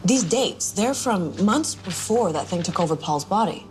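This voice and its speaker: An actress playing a principled, dry-witted police investigator, blunt and sardonic in a screen drama. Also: female voice, mid-range voice, expressive, normal speed, natural voice. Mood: businesslike. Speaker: actor in a TV supernatural police drama. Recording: music under the dialogue.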